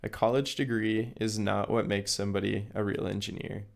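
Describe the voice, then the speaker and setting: A hoarse man, recovering from a recent illness, hosting his own educational podcast and explaining things earnestly with small restarts. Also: lowish voice, level tone, normal speed. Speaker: podcast host. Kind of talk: solo educational podcast talk.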